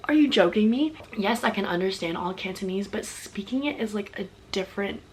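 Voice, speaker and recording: A woman's voice: medium pitch, animated, brisk, a little mellow, a little hiss or hum. Young female vlogger, casual and chatty, addresses the audience directly, piles on repeated words. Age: young adult. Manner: chatty, talks straight to viewers, stacks repeated words for emphasis. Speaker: vlogger and athlete. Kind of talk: casual story-time vlog.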